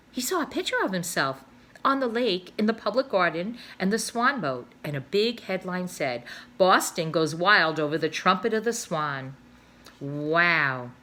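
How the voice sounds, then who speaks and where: A woman teacher reading a children's novel aloud, expressive, with little asides and self-corrections. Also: on the low side.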